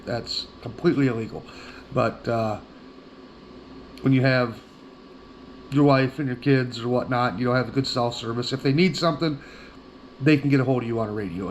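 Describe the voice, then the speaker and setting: A man's voice: mid-range voice, muffled recording. Male product reviewer, folksy and plain-spoken, mid-range voice, casual hands-on delivery, faint noise behind.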